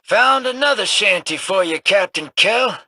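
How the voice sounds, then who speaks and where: An actor voicing a sly, roguish smuggler in a video game, talking in a drawling, slangy way. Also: male voice, sits high, animated, a little slow, background noise. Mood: wry.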